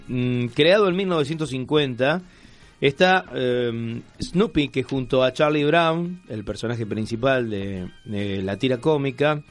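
An Argentine man hosting a casual radio magazine show, chatting in a jokey, rambling way. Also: medium pitch. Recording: music playing under the voice.

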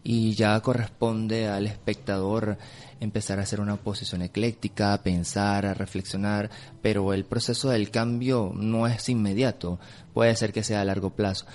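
A man with a Venezuelan accent, an interview guest explaining his views emphatically and sometimes pausing to find words. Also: lowish voice, steady tone, darkish tone. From Caracas, Venezuela. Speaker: film festival director, interview guest. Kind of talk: spontaneous radio interview, opinionated explanation. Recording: clearly audible background noise.

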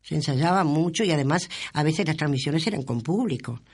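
An Argentine man talks casually in an interview. His voice sits fairly high, and he recalls the past with emphatic enthusiasm. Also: expressive, a little fast, slightly rough. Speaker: interviewee recalling radio broadcasts.

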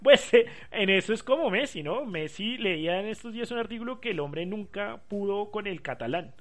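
A man talking football casually with co-hosts, chatty and quick to laugh. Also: sits high, expressive. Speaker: sports talk host. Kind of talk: casual sports chat.

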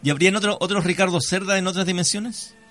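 A man's voice: high voice, fast talker, fairly bright tone. A man hosting a radio interview, politely prompting his guest and asking for clarification in a conversational way. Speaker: radio host. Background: music under the voice.

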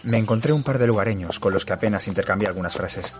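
An actor playing a weary surveyor who narrates an eerie horror tale in a measured, literary, sombre way. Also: male voice, lowish voice, close to an everyday voice. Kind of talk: dramatic first-person audio drama narration. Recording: music behind the voice.

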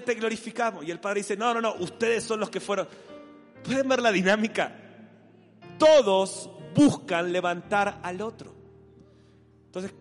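A man with a Rioplatense Spanish accent preaching a sermon, animated and playful, acting out voices in an imagined dialogue. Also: high-pitched. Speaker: pastor. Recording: long hall reverb with faint music.

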